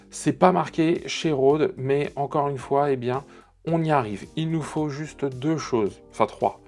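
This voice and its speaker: Male tech channel host, average pitch, conversational and explanatory demo delivery, light music underneath. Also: darkish tone. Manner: conversational, explanatory, addresses viewers directly. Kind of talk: casual product demonstration to camera.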